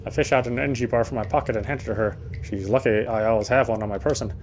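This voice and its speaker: A man's voice: lowish voice, steady tone, rapid-fire, a little mellow, a little hiss or hum. A man reading first-person game narration aloud in a dramatic storytelling style, now and then stumbling over words.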